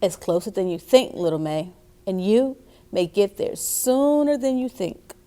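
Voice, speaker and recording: Woman as a mellow, reassuring storybook dad. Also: medium pitch, lively intonation, measured pace, close to an everyday voice. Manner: encouraging, emphatic. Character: supportive, reassuring father of a little girl.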